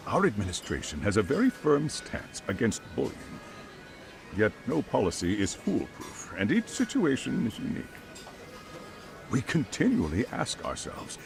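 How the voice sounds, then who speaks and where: A man's voice: medium pitch, gravelly. An actor playing a polite, formal middle-aged private school chairman in a video game cutscene, speaking in careful, wordy sentences. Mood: earnest, rehearsed.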